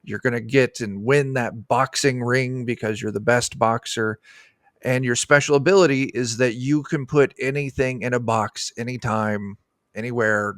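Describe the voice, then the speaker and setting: A middle-aged man talks in an informal, rambling and enthusiastic way. His voice has an ordinary male pitch and is recorded dry, as on an indoor video call. He sounds like a designer explaining his game. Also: fairly bright tone, no room sound. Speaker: game designer, podcast guest. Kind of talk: casual explanatory chat about a tabletop game.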